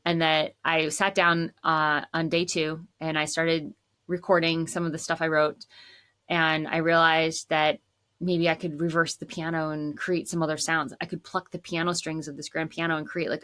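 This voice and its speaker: A woman in her thirties, a songwriter and composer, chatting casually about her work in a low voice with lots of "like.". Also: moderate pace. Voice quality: says she has a low voice. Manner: chatty, says "like" often, relaxed. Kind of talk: casual storytelling to camera.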